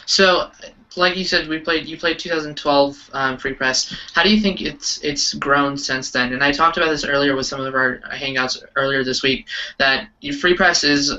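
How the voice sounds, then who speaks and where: A man's voice: on the high side, normal speed, sharp tone. A man hosting a casual interview, asking questions in a conversational way. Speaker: interviewer hosting a band hangout. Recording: distant, dull webcam-style sound.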